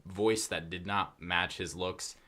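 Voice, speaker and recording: A man's voice: sits low, steady tone, slow, pushed and bright. A teenage boy co-hosting a casual podcast from a small studio, rambling through stories with lots of fillers. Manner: rambling storytelling with many fillers. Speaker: podcast co-host.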